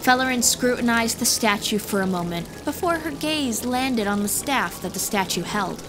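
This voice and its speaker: A woman's voice: fairly high pitch, animated, moderate pace. A woman reading scripted fantasy story narration as the narrator of an audiobook. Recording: music behind the voice.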